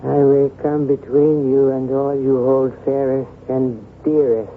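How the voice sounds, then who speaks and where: An actor playing a dying, vengeful French nobleman in a radio drama, declaiming slowly and solemnly with menace. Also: medium pitch, flat delivery, lightly acted. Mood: vengeful, ominous. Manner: slow, solemn, theatrical declaiming. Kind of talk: 1970s radio drama acting. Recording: phone-like old radio broadcast, faint noise.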